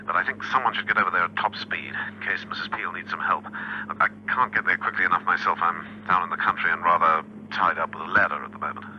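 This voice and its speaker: Guy playing smooth-headed secret agent, low voice, talks fast, wry, old radio play. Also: lightly acted. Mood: urgent, wry. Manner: urgent yet understated, wry. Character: brisk, unflappable gentleman secret agent. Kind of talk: vintage radio drama acting. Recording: dull old radio recording with hum.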